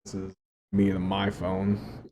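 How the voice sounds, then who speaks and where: A man's voice: fairly low pitch, big pitch swings, takes it slowly, creaky, mellow, slight background noise. A man in his mid twenties, a podcast co-host, chatting bluntly and teasing, swearing often. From South Dakota. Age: 20s. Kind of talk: casual chat.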